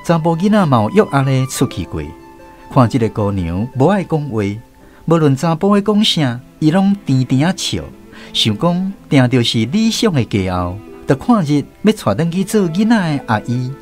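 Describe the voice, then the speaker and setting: Higher-pitched Taiwanese man narrating, lively. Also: moderate pace, soft background music. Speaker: narrator of a radio drama. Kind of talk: scripted first-person storytelling.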